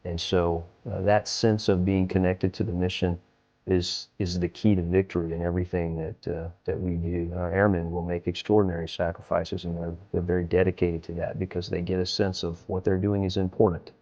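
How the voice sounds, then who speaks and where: Male commander, American, middle-aged, low flat voice, deliberate interview delivery, dull recording. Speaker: military commander. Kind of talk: documentary interview. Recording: dull, muffled sound.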